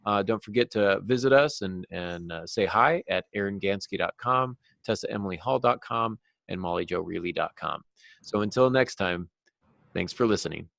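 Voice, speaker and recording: A man's voice: on the low side, moderate pace, gravelly, fairly bright tone, no echo, studio quality. A man co-hosting a podcast in a casual, conversational way. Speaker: podcast co-host and novelist.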